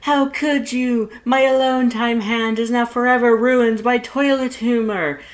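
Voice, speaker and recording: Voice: mid-range voice, fairly even intonation, unhurried, voice pushed up, lightly acted. A narrator voicing a hammy, self-important male skeleton who laments in grandiose, over-exaggerated style for a fan fiction reading. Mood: theatrical lament.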